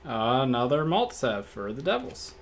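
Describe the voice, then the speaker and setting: A man with a slightly raspy voice hosts a card break, reading off each card in a relaxed, matter-of-fact way and thinking out loud. He is recorded indoors at a table by a fixed camera. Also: medium pitch, measured pace, a little hiss or hum. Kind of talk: casual card-opening commentary.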